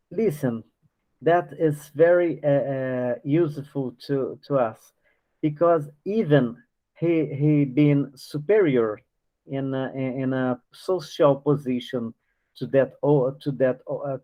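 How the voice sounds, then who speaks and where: Guy leading a book study, reads and explains really slowly, keeps stopping and restarting mid-sentence, kinda dull lo-fi sound. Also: on the high side. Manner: halting, searching for words with many restarts. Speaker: study group leader. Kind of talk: reading a book aloud and explaining it. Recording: dull lo-fi recording.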